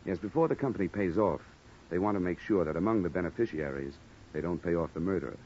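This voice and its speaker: An actor playing a sardonic, hard-boiled insurance investigator in an old-time radio noir drama. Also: male voice, low voice, expressive, fast talker, dark tone, slight background noise. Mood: dry, pointed. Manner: sardonic, dry. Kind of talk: 1940s-50s radio acting, noir narration. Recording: muffled old radio recording.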